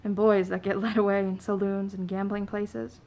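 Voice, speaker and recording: A defiant working-class mother played by a woman in a radio play, her voice thick with creak. She is poor, proud and plainspoken, a laundress who stands her ground. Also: medium pitch, flat delivery, moderate pace, darkish tone, natural voice. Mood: defiant, weary. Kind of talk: stage-style radio play acting.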